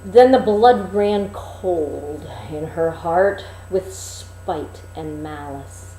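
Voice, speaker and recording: A woman reading a fairy tale expressively, with deliberate, dramatic phrasing and emphasis. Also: lowish voice, dark tone, reverberant, a little hiss or hum, low-quality recording. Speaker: storyteller, reader.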